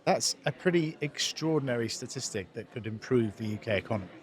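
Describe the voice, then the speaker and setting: Male podcast host, average-pitched voice, informal conversational questioning. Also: animated, brisk. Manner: informal, prompting with tag questions. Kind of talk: conversational interviewing.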